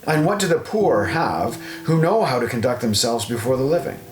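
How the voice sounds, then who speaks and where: Guy reading bible audiobook, solemn and measured, mid pitch, echoey room w music under it. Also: sing-song. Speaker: audiobook narrator. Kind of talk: scripted scripture reading. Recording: roomy echo, music underneath.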